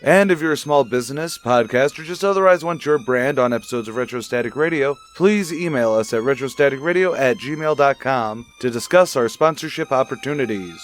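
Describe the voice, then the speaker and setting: A man's voice: mid-range voice, expressive, a little fast, few pauses, soft background music. A man reading a scripted outro as the announcer and host of a radio drama show.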